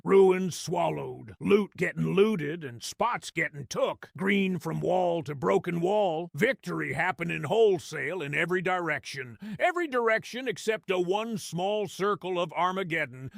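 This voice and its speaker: Creaky, high-pitched goblin storyteller. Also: male voice, a bit bright, dry recording, studio quality, heavily acted. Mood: wry, dramatic. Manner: colloquial, dramatic, slangy storyteller. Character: small scrappy male grot goblin storyteller, sly, awed, gossipy. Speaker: narrator. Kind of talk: first-person audio drama storytelling.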